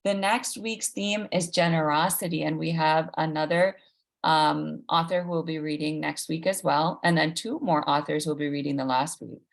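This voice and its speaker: A woman's voice: low-pitched, fairly even intonation, moderate pace, vocal fry. A woman hosting a live online event for children, talking informally and directly to listeners as she makes announcements. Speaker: host of an online read-aloud event.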